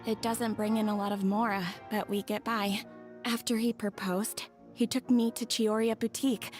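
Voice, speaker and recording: A woman's voice: medium pitch, flat delivery, normal speed, soft background music, natural voice. A woman voicing a polite, earnest young bride-to-be in a video game. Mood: grateful. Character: grateful young bride-to-be, gentle.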